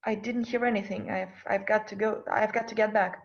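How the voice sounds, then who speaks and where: A woman's voice: medium pitch, fairly even intonation, fairly quick, lightly acted. A woman in a script table read playing an anxious, curious young woman, with hesitant, questioning delivery. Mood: nervous. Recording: dull lo-fi sound.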